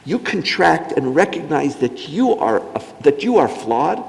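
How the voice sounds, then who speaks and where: A man's voice: a little high, very animated, unhurried, creaky, background noise. A man, a rabbi on a panel, speaking emphatically and outspokenly. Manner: emphatic, outspoken, mocking in asides. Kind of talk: impassioned panel talk. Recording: reverberant hall.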